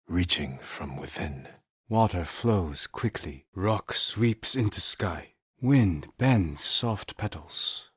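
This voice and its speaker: A reflective, honor-bound samurai from a video game. His voice is low and heavily husky, close and dry. He speaks in hushed, deliberate phrases, as if reciting poetry, with grave restraint. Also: dry recording, exaggerated voice. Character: solemn, reflective male samurai warrior, stoic and honor-bound. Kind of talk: measured poetic recitation, video game acting.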